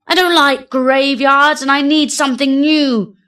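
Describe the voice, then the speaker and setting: Storybook skeleton man played by a woman: gaunt, theatrical, emphatic, unhurried and declaiming. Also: high voice, flat delivery, a bit bright, voice pushed up. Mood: weary, fed up. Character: tall thin male skeleton, weary of scaring, dramatic.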